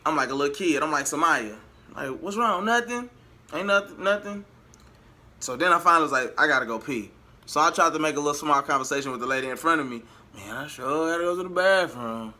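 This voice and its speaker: Casting: American male vlogger, slightly high bright voice, chatty slangy storyteller with animated emphasis. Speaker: vlogger and amateur singer. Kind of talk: casual vlog storytelling in slangy speech.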